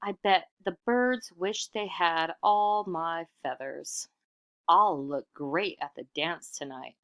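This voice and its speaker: A man's voice: fairly high pitch, slow pace, fairly bright tone. A woman playing a vain, boastful trickster man. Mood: smug, boastful. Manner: self-important, theatrical.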